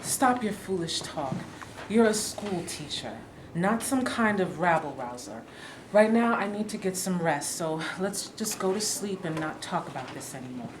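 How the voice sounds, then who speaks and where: A woman's voice: lowish voice, sing-song, normal speed. A woman playing a resolute, weary wife with a firm, dismissive manner in a staged play reading. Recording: echoey room, faint background noise.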